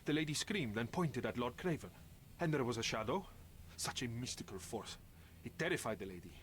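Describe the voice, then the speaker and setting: An actor playing a flustered servant with a broken nose in a video game, speaking in an agitated, pleading way with an odd accent. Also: male voice, medium pitch, animated, moderate pace, slight background noise, close to an everyday voice.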